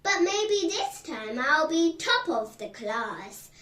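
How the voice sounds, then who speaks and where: A woman's voice: sits high, big pitch swings, slow, a bit bright, pitched above the performer's own voice, full character voice. A woman voicing a hapless, hopeful young girl fairy in a children's storybook, hesitant and wistful, in a roomy recording. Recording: roomy echo, distant from the mic.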